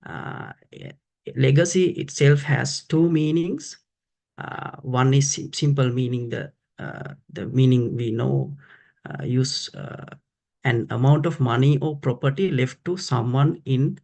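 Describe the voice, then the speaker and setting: Slow, gravelly man as the grammarian. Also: mid-range voice, a little mellow. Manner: slow and deliberate, with many fillers. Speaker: grammarian at a club meeting. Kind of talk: prepared role introduction.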